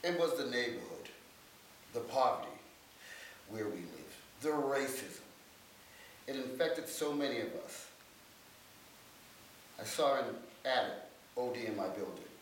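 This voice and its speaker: A man giving a staged dramatic reading of his life story in a measured, storytelling delivery. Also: mid-range voice, lively intonation. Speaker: former prisoner telling his life story. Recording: very echoey room, distant mic, faint hiss.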